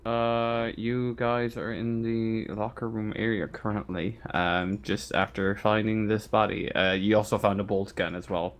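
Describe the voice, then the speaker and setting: A man casually setting a scene as a tabletop game master, halting as he recalls details. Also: lowish voice, level tone. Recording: faint background noise.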